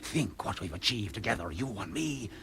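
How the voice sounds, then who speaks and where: An actor playing a ruthless, greedy tomb robber in a radio drama, cajoling and dismissive. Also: male voice, fairly low pitch, big pitch swings, moderate pace, bright, forceful tone, slight background noise. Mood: cold, coaxing. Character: scheming, persuasive tomb-robbing man, ruthless and greedy.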